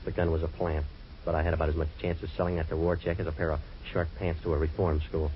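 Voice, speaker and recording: A deep, fast-talking wisecracking tough guy. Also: little pitch movement. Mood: narrating. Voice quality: dry sardonic wisecracks. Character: wisecracking tough waterfront man, sardonic. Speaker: hard-boiled narrator and lead. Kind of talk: 1940s radio drama acting. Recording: dull lo-fi old radio recording, faint hiss.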